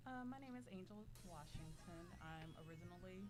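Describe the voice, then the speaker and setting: A woman's voice: on the low side, lively intonation, brisk, over music. A woman in her late forties from Las Vegas, chatting casually as a guest poet and author. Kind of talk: casual panel chat.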